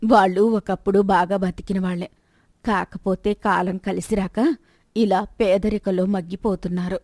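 A woman plays a middle-aged merchant's wife in a moral tale. She is practical and persistent, chiding and questioning at a middling pitch. The sound is close and dry. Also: steady tone, normal speed, a little mellow, no room sound, close to an everyday voice. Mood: chiding, persuasive. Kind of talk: moral story dialogue.

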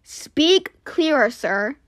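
Firm police officer for a toy-app drama, questioning, lively pitch, close dry sound woman acting it. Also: drawn-out words, no echo, heavily acted. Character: stern police officer rescuing kidnapped children.

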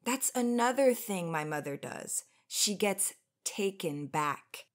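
A woman's voice: mid-range voice, animated, slow pace. An actress playing an earnest, polite young woman in a radio drama. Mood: fond, reminiscing.

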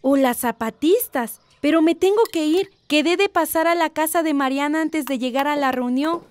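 A woman's voice: high voice, moderate pace, close to an everyday voice. An actress with a Mexican accent playing a concerned, cautious village mother in a radio drama. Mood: matter-of-fact.

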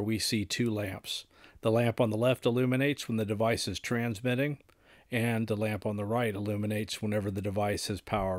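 A middle-aged man presenting radio equipment in scripted technical narration, with measured, formal and precise wording. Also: on the low side, dry recording, clean studio sound.

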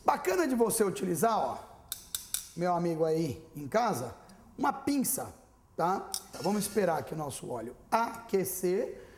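Lively man demonstrating a recipe. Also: high-pitched, moderate pace, a little hiss or hum. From Brazil. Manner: lively, chatty, addressing viewers directly. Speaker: TV cooking show chef. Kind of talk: cooking demonstration. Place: studio kitchen set. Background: frying and sizzling.

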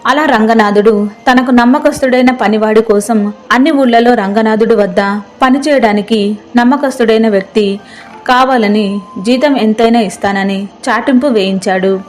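A woman's voice: a little high, normal speed, soft background music. A woman reading a scripted moral folk tale as narrator. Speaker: story narrator.

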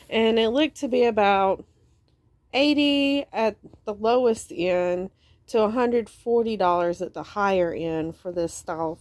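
A woman's voice: medium pitch, darkish tone. A woman, chatting casually as she shows items in a vlog and explains them plainly, filmed on a handheld phone. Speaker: vlogger. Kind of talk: casual show-and-tell vlog.

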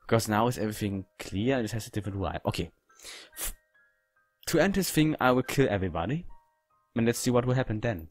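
A man with a fairly low voice talks casually as a gaming host, halting over his non-native English phrasing. The recording is dry and close, with faint music behind him. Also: lively intonation, no echo. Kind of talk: casual game commentary.

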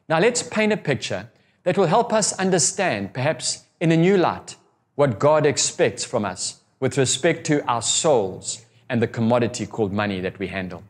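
Middle-aged man preaching with lively pitch. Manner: earnest, expressive preaching with hand gestures and drawn-out emphasis. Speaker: pastor. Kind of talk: recorded sermon to camera. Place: indoor room by a window.

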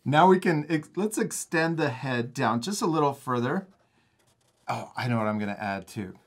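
A man's voice: mid-range voice, animated, normal speed. A man hosting a step-by-step drawing lesson for children in a casual, encouraging manner. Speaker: art teacher and host.

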